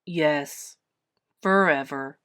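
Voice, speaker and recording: A woman voicing a stern, solemn grown-up male bear from a storybook, measured and authoritative. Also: fairly low pitch, sing-song, fairly bright tone, full character voice. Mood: solemn, relenting.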